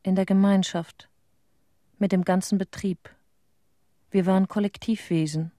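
An actress playing a troubled woman inspector recalling events in a radio play monologue, measured and reflective. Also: medium pitch, steady tone, mellow, dry recording, clean studio sound, close to an everyday voice. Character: reflective female nature-protection inspector, guilt-troubled witness.

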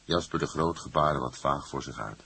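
A man's voice: a bass voice, moderate pace, vocal fry, bright, forceful tone, breathy edge. A man narrating a scripted crime story as an audiobook reader.

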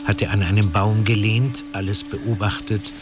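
A man's voice: lowish voice, little pitch movement, measured pace. A man narrating a scripted fantasy story in a radio play. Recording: music under the voice.

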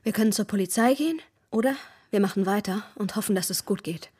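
An actress playing a worried, resolute young woman on the run in a crime radio drama, breathy and hushed. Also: mid-range voice, fast, natural voice. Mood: tense, hushed. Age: young adult.

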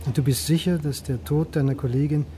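An actor playing a mild middle-aged history lecturer, quietly inquisitive, in naturalistic radio drama dialogue. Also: male voice, fairly high pitch, steady tone, brisk, a little hiss or hum, lightly acted. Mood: inquisitive, calm.

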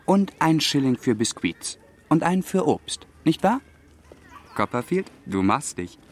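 A cocksure young gentleman at boarding school in a radio drama. He is breezy and coaxing, with a very animated melody and a patronising manner. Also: on the high side, unhurried. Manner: breezy, coaxing, self-assured. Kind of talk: German radio drama acting.

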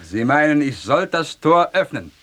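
Ein Schauspieler spielt in einem komischen Hörspiel einen gehorsamen, wortreichen, trocken besorgten Sklaven. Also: male voice, fairly high pitch, big pitch swings, moderate pace, a bit bright. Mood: puzzled. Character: obedient, wryly worried male slave of a vintner. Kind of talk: comic stage-style radio drama dialogue. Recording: 1969 German radio play.

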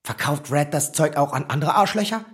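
A man's voice: on the high side, lively intonation, brisk, fairly bright tone. An actor playing a pushy small-town policeman in a radio play, needling and sneering as he interrogates.

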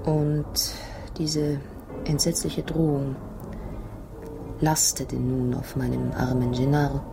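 An actress in a German radio drama playing an anguished wife who tells her story in a dramatic, halting way. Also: rumbling low, little pitch movement, vocal fry, music in the background, full character voice. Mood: haunted, grave. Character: anguished Italian wife recounting a murderous secret society's threat.

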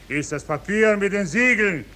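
An actor playing a stern soldier delivering a formal order in a 1950s German radio drama. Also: male voice, high voice, animated, normal speed, sharp tone. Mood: stern, official. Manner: formal, official. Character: stern soldier serving a judge's order to seize a child. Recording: old radio recording, background hiss.

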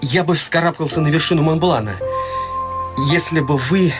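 A young man voicing a shy, withdrawn young man who speaks quietly and hesitantly. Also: fairly high pitch, normal speed, music in the background, lightly acted. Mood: earnest. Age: young adult. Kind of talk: radio play acting.

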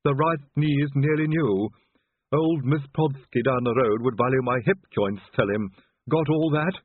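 A man's voice: mid-range voice, normal speed, breathy edge, no echo, phone-quality sound, voice pushed up, natural voice. A man voicing a dying patchwork manservant in an audiobook, groaning and urgent, with colloquial, practical insistence. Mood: groaning, insistent.

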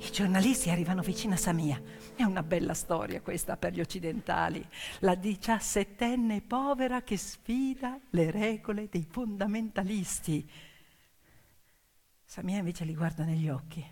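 A woman's voice: mid-range voice, moderate pace, darkish tone. A woman performing a dramatic theatrical monologue as a stage storyteller, intense and emphatic, in short suspenseful phrases. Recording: long hall reverb, piano music underneath. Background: piano accompaniment.

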